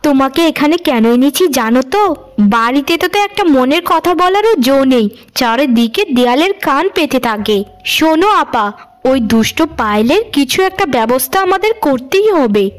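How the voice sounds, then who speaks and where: A woman plays a young middle sister in a cartoon story, scheming and resentful. Her very high voice carries a conspiratorial, complaining manner. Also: expressive, moderate pace. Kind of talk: cartoon moral story voice acting.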